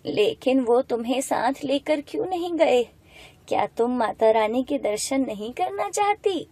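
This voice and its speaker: A woman voicing a kindly old woman in an animated moral story, gentle, motherly and reassuring. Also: high-pitched, measured pace, heavily acted. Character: kindly, hungry old woman blessing a girl.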